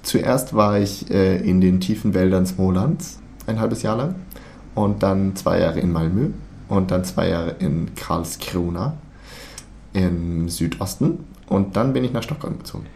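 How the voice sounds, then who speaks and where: A thirty-year-old man from Thuringia in Germany, chatting casually as a podcast co-host, halting and dryly joking. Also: deep voice, creaky, dark tone, slight background noise. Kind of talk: casual chat between two co-hosts.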